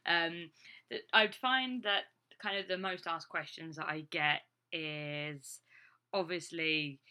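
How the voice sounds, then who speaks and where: Chatty Essex woman with a bright voice. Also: lowish voice. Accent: English, Essex. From England, Essex. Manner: rambling, chatty, lots of "like" fillers, laughs between thoughts. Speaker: vlogger. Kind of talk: casual talking-to-camera chat.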